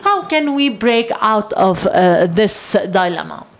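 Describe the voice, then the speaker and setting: A woman giving simultaneous interpretation of a lecture, halting often with long hesitations. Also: medium pitch, very animated, creaky. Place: lecture hall.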